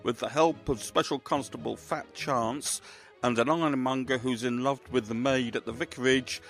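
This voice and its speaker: A man's voice: medium pitch, steady tone, normal speed, a bit bright, soft background music. A man presenting and reading scripted narration for crime fiction.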